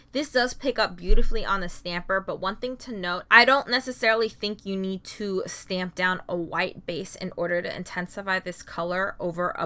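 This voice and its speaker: A woman's voice: mid-range voice, sharp tone. A young woman vlogger giving an upbeat, detailed product demo and review, often saying "like". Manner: upbeat, descriptive, says "like" often. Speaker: beauty vlogger.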